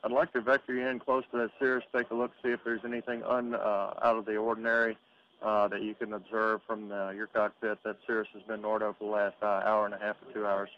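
Flat-toned male approach controller. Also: on the low side, fast talker. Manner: steady, polite requests with hesitations. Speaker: air traffic controller. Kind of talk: radio phraseology. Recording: muffled radio transmission with hiss.

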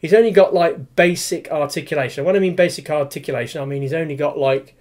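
Chatty toy reviewer in his forties, a touch high-pitched, meandering and full of fillers, recorded on a webcam at home. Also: steady tone, fast talker, a little mellow. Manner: rambling, many fillers and restarts. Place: small room with display cabinet, indoors.

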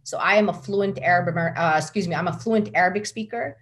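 A woman's voice: on the low side, fast, a bit bright. A middle-aged woman from the United States, a guest speaking passionately and emphatically over a video call from a room at home. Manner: passionate, emphatic, repeats words when building a point. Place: home room with curtains behind. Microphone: laptop webcam on video call.

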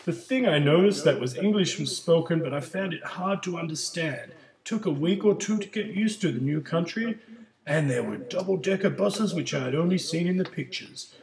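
A man playing an older male Chilean migrant who tells his story in a plain, steady way, in a school project role-play interview. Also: on the high side, moderate pace, gravelly, echoey room, poor audio. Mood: calm.

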